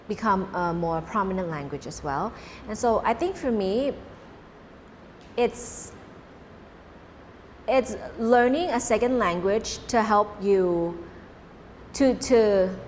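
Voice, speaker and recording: A Thai woman whose first language is Cantonese, answering interview questions earnestly and often restarting her sentences. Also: medium pitch. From Thailand. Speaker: interview guest. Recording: reverberant room with faint noise.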